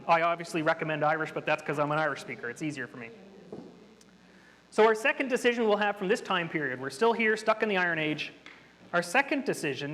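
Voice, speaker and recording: High-voiced man presenting in a hall. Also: a bit bright. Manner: explanatory, nerdy and chatty. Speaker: workshop presenter. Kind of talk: interactive workshop talk. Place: hall with an audience. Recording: reverberant hall.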